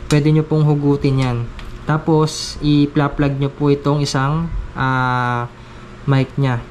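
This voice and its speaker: Man, fairly high voice, polite casual tutorial delivery, gear reviewer, faint background noise. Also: little pitch movement, slow, darkish tone. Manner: polite, addressing viewers respectfully. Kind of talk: casual step-by-step product tutorial.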